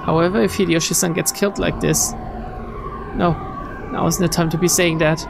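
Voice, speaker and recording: Creaky-voiced streamer reading a visual novel. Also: medium pitch, steady tone, moderate pace, noisy background. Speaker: streamer reading a game aloud. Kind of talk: dramatic read-aloud of visual novel text. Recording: game music behind the voice.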